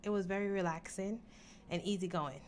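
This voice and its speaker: A woman's voice: medium pitch, fairly quick, background noise. A woman, answering interview questions in a studio in an easygoing, reflective way. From Jamaica. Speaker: interview guest.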